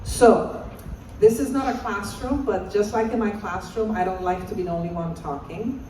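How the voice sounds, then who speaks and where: A woman's voice: medium pitch, normal speed, mellow, slight background noise. A woman, giving an interactive conference talk as a presenter and encouraging the audience to call out answers. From Romania. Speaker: assistant professor and presenter. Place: large conference hall. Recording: echoey hall, voice far off.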